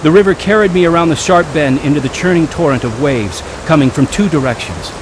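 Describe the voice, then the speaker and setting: A man's voice: fairly high pitch, animated, fairly quick, noisy background, natural voice. An actor narrating and playing a young man looking back on his wild youth in a radio drama.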